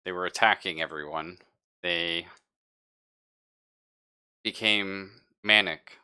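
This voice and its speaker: A guarded ship android from a sci-fi tabletop game, voiced by a man, speaks in formal, halting reports. The voice is low and bright, with a slow, clinical delivery. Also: fairly even intonation, clear, resonant tone, heavily acted. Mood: grave, clinical.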